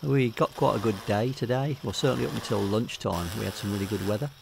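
A middle-aged man with an English accent giving a casual, unscripted voice-over walkthrough, hesitating and pausing often. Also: fairly low pitch, creaky, background noise. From England. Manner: hesitant, unscripted delivery with many pauses. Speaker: hobbyist video narrator.

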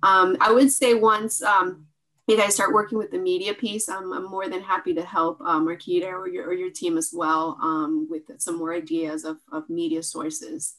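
A woman's voice: lowish voice, level tone, normal speed, fairly bright tone, rough recording. A woman who works as a city staff liaison, speaking over a video call in an upbeat, helpful way.

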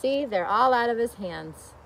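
High-pitched woman teaching young children. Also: animated, unhurried, gravelly, slight background noise, rough recording. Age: middle-aged. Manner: asks the young viewers frequent simple questions. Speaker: children's teacher reading and singing a picture book. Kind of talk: gentle instructional talk and singsong storytelling for young children. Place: outdoors in a leafy garden. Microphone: camera or phone a short distance away.

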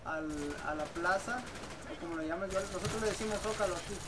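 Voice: medium pitch, a little fast. A gamer with a Mexican accent chatting casually over game voice chat, slangy and full of hesitant questions. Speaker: online gamer, video maker. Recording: noisy game audio with gunfire. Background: video game gunfire.

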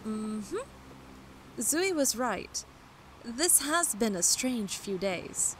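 Actress as a slow-speaking kind young sage. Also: female voice, fairly high pitch, lively intonation, fairly bright tone, a little hiss or hum, full character voice, rough recording. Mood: kind, earnest.

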